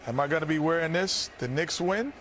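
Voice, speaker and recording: A man's voice: fairly high pitch, brisk, a bit bright. A man presenting a sports promotion with playful, conversational banter.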